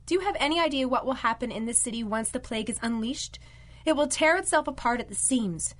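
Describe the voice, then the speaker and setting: A woman's voice: on the high side, quick pace, a bit bright. An actress playing a commanding female villain in a radio drama, formal and authoritative. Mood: imperious. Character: imperious female villain, a commanding criminal lieutenant. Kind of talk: old-time radio drama acting.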